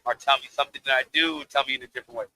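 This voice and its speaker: A man with a deep voice, a rapper guest talking loosely in a casual interview, laughing and swearing freely. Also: steady tone, very fast, bright, forceful tone, no room sound, rough recording.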